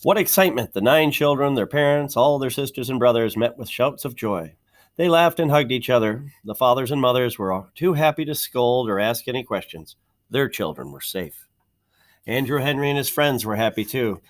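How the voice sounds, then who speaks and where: Guy with a mid-range voice reading a kids picture book out loud, measured storytelling, leans on the key words. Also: mid-range voice, animated. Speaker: storybook reader. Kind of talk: expressive read-aloud of a children's picture book.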